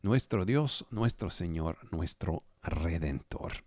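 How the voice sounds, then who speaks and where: A man preaching a sermon as pastor, Spanish not his first language, slow and halting, often repeating words as he searches for phrasing. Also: deep voice, lively intonation, a little mellow, no room sound. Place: home studio for a live online service.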